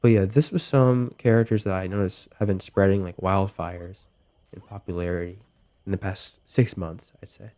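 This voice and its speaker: A young man getting over a cold, talking through game tips in a relaxed way with lots of ums and likes. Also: sits low, normal speed. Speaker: gaming video host. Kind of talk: casual gameplay commentary.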